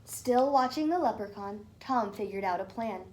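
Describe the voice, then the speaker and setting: A woman's voice: mid-range voice, lively intonation, normal speed, darkish tone, slight background noise. A woman narrating a children's storybook as a teacher reading aloud.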